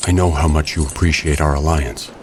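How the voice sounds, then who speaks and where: A man's voice: rumbling low, fairly even intonation, brisk, background noise. A man playing a young wealthy vigilante who poses as an easygoing socialite, talking casually in an audio drama. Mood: cool, controlled. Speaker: voice actor. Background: music.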